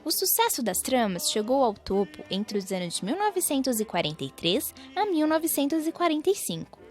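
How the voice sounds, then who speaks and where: A woman's voice: high-pitched, very animated, fairly quick, darkish tone. A young Brazilian woman reading scripted documentary narration. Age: young adult. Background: music.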